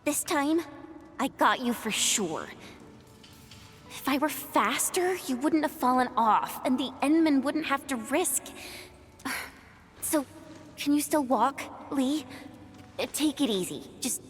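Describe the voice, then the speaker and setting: High, lively, brash young woman fighter. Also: slow, long pauses, in a large hall, faint music behind. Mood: protective. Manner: blunt, expressive. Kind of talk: video game story dialogue.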